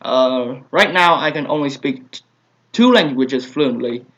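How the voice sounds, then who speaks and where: A man's voice: medium pitch, lively intonation, unhurried. A young man, a native Vietnamese speaker with a Vietnamese accent, answering viewer questions in a hesitant, casual way with many fillers. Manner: hesitant, many fillers and soft trailing asides. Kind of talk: casual Q&A vlog. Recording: dull lo-fi home recording.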